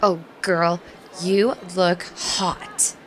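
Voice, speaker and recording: A woman's voice: lowish voice, fairly even intonation, very slow, a bit bright, slight background noise. A woman voicing a pushy, bubbly young woman who is bossy and upbeat.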